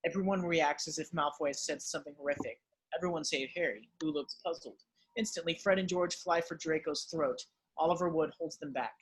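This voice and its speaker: A man's voice: fairly high pitch, fairly quick, slightly rough, rough recording. A man narrating screenplay directions at an amateur table read.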